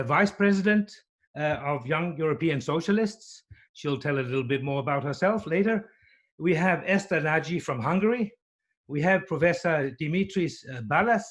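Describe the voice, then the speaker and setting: A man hosting and moderating an online panel discussion, introducing guests in a measured, welcoming way. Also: on the high side. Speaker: host, moderator.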